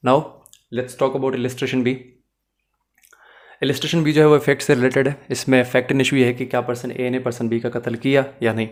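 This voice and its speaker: A man's voice: medium pitch, level tone, moderate pace. A man giving an explanatory lecture as a teacher, in a didactic way, mixing in English phrases and often checking that listeners follow. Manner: mixes English phrases into Hindi-Urdu. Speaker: law teacher.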